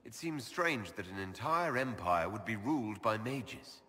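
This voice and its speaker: Game player character: a direct, skeptical elf leader, lowish voice, bright tone, reverb. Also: male voice, lively intonation, measured pace. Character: male elf leader, earnest and probing. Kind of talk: video game dialogue.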